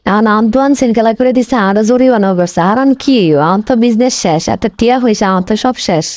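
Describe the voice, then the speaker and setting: A woman acts out a dramatic, blaming cartoon wife with a highish voice. She scolds and wails at a very quick clip in a regional Bangladeshi Bengali dialect. The sound is close and dry. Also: darkish tone, no echo, close to an everyday voice. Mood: panicked, wailing. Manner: wailing, scolding, repetitive complaining. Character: shrill, dramatic, blaming wife and shop-owning boss. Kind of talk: comic cartoon dialogue.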